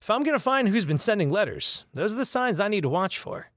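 A man's voice: high-pitched, big pitch swings, fairly quick, fairly bright tone, no room sound, studio quality. A man playing an earnest, weary mail carrier narrating an investigation in an audio drama. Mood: reflective, determined.

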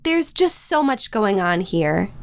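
A woman's voice: mid-range voice, big pitch swings, takes it slowly, gravelly, full character voice. An actress playing a stubborn, anxious young American woman, a protective big sister, guarded and wry in a modern audio drama. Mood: reluctant. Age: young adult.

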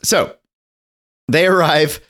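A man co-hosting a podcast in a casual chat, slightly gravelly from a head cold, animated and jokey. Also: fairly high pitch, slow pace. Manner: animated, jokey, drifts into bits and riffs. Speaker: podcast co-host.

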